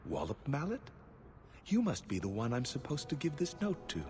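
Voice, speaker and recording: A man's voice: mid-range voice, huge pitch swings, normal speed, slight background noise. An actor playing a glib, faintly disdainful male messenger in a fantasy video game. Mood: glib, dismissive. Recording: loud game music behind.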